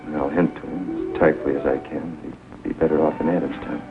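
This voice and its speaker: An actor playing a grave, gentle young doctor, sober and restrained in a 1940s film melodrama. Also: male voice, rumbling low, steady tone, fairly quick, creaky, music in the background. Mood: gentle, somber. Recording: narrow-band 1940 film soundtrack.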